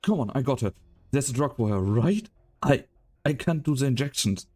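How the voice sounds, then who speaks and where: A man playing an anxious, soft-hearted young henchman in an amateur game fan dub, excitable and stammering. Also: mid-range voice, normal speed, soft background music, lightly acted. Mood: panicked, worried.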